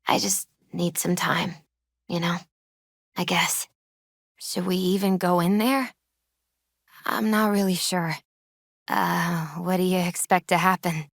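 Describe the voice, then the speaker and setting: A gentle young woman in her twenties, speaking as a grieving video game character. Her voice sits fairly low and comes through dry, with no room around it. She is subdued and wistful, hesitating as she talks in a natural, unforced way. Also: steady tone, takes it slowly, pauses often, dry recording. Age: 20s.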